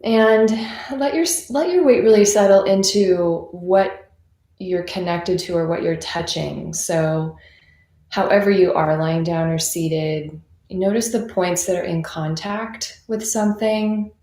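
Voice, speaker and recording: A woman leading a guided meditation in an unhurried, conversational and reassuring manner, as a teacher would. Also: fairly low pitch, dark tone. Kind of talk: guided meditation, gentle unscripted instruction. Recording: roomy, echoing sound.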